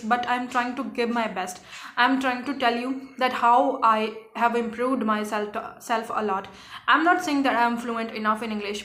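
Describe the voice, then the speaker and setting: A woman's voice: high-pitched, a bit bright, echoey room, slight background noise. A woman with a Punjabi accent, whose first language is Punjabi, talks earnestly and encouragingly about learning English, with many fillers and self-corrections. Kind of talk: casual vlog lesson.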